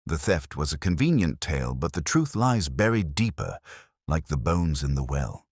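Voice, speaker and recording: A man voicing a coolly logical, commanding Victorian gentleman detective in an audiobook, deliberate and formal. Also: very deep voice, big pitch swings, dry recording, studio quality, heavily acted. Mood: intent, analytical. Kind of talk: audiobook character dialogue.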